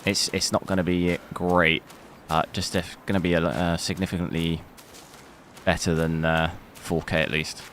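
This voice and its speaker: A man streaming a video game live, talking casually through the settings, with a hoarse voice he says he is losing. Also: sits low, level tone, moderate pace. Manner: thinks aloud casually, bursts into loud dismayed cries. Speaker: gaming streamer. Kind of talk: live gameplay commentary. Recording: noticeable background noise.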